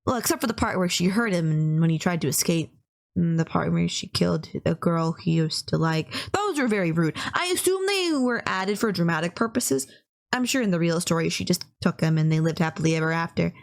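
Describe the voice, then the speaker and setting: A woman's voice: fairly low pitch, expressive, a little fast, creaky. A woman playing a sweet, polite young yandere who is lovestruck and bashful, in a romantic audio roleplay, earnestly rambling to the listener with small stammers.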